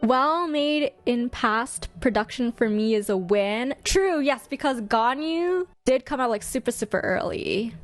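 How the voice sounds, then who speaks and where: A woman's voice: on the high side, expressive, a little slow, hardly any pauses, faint music behind. A woman giving casual, chatty reaction commentary as host, with a playful, exclamatory manner. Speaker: host ranking game trailers.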